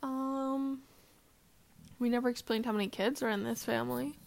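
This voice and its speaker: A woman's voice: on the high side, fairly even intonation, moderate pace, vocal fry. A woman co-hosting a casual family podcast chat, hesitating often with "um" and sitting close to a shared mic. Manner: hesitant, lots of "um" fillers. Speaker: podcast co-host. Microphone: shared mic, close to her.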